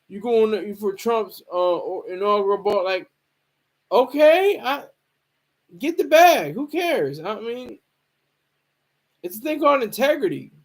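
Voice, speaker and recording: Male streamer, high voice, casual unscripted commentary, halting, rambling, repetitive phrasing. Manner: rambling, halting, repeats himself. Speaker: streamer commenting on hip hop news.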